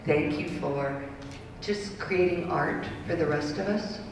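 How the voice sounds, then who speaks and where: Slow, earnest gravelly speaker in a hall. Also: mid-range voice. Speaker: event speaker. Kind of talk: closing remarks. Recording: echoey hall from far off, noisy.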